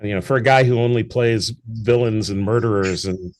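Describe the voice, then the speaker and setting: Lowish-voiced earnest man, winding talk. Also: fairly even intonation, moderate pace, a little mellow. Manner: earnest, long winding sentences. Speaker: guest, theatre founder and actor. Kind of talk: casual interview over a call.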